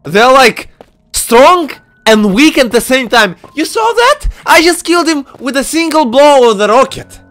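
A man hosting a gaming video with excited, exclamatory commentary, stammering and peppering his talk with slang. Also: very high voice, unhurried, soft background music.

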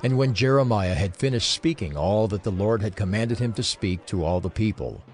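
A man's voice: on the low side, lively intonation, moderate pace, darkish tone. A man narrating scripture from a script as the storyteller of an audio drama.

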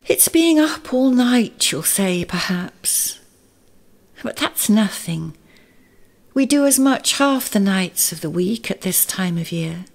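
A woman voicing a gentle, earnest male mole, soft and wistful, in a storybook reading. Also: medium pitch, animated, slow, gravelly, exaggerated voice.

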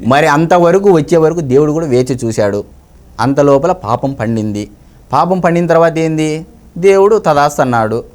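A man's voice: on the high side, lively intonation, moderate pace, darkish tone. Emphatic man. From Andhra Pradesh, India. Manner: emphatic, repeats phrases for emphasis. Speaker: interviewee, member of the public giving political opinions. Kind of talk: conversational political commentary.

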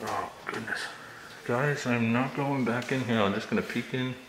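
A man filming himself as he walks through an abandoned house, talking quietly and uneasily to his viewers. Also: mid-range voice, moderate pace. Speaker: vlogger exploring an abandoned house. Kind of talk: casual handheld commentary. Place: empty derelict house, indoors. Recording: echoey bare room, noisy.

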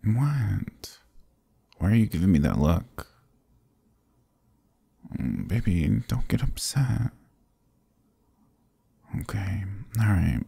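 A man voicing a stubborn but affectionate sick young boyfriend in an intimate ASMR roleplay, soft, husky and drowsy, murmuring close to the mic. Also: sits low, big pitch swings, takes it slowly, long pauses, dry recording, full character voice. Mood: stubborn, dismissive. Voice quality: husky, gravelly, breathy. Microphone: close, dry microphone.

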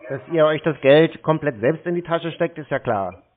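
A man's voice: on the high side, expressive, moderate pace, slight background noise. A man baiting a scam caller on the phone, talking in a dry, needling and pedantic way. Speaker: scam baiter on a phone call. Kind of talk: phone conversation. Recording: tinny phone line.

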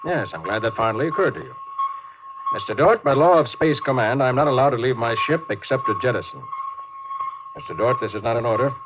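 Casting: male actor as suspicious, sardonic starship captain, mid-pitched, clipped and brooding; dull, noisy 1950s radio drama sound. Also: expressive, fairly quick, lightly acted. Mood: dry, sardonic. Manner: clipped commands, brooding sarcasm.

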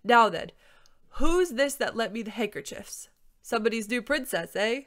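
A woman performs an old gray-green gentleman dragon for a storybook. He is blustery and fussy yet kindly. His old-fashioned exclamations come out loud and raised in pitch. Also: lively intonation, normal speed. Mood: genial, approving. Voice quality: congested, sneezy.

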